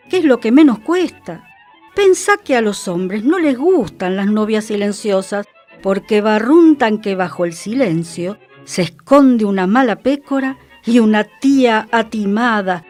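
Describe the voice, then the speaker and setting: A woman's voice: on the high side, a little mellow. A woman performing a sardonic old-time porteño storyteller, in a dramatic, admonishing monologue with a Buenos Aires accent. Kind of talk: theatrical monologue in tango slang.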